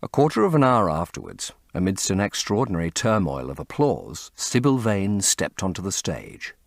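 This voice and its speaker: A man reading literary fiction as an audiobook narrator, in an expressive, measured storytelling manner. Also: sits low, gravelly, no echo, clean studio sound.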